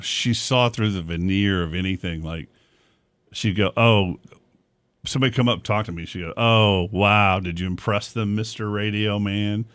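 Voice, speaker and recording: A man's voice: fairly low pitch, moderate pace, fairly bright tone, smooth, no room sound. Middle-aged radio man, dry close sound. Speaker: radio morning show host. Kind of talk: casual radio chat, telling personal stories. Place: small radio studio. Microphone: close studio microphone on boom arm.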